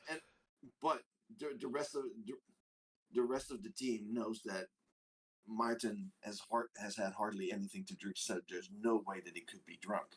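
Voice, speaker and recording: A man playing a tabletop game, casually describing his actions and haltingly repeating words as he goes. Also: fairly low pitch, steady tone, breathy edge, low-quality recording.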